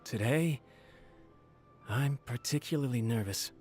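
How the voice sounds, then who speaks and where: A man's voice: medium pitch, a little slow, darkish tone, faint music behind, close to an everyday voice. A man voicing a nervous young servant in a video game, polite and deferential with a hesitant stammer. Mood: worried. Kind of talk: English video game voice acting.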